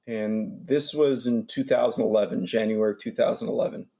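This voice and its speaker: A man's voice: lowish voice, normal speed, mellow. A man in his forties from New York who stutters, with repeats and restarts, talking as a guest in a casual interview. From New York, USA. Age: 40s.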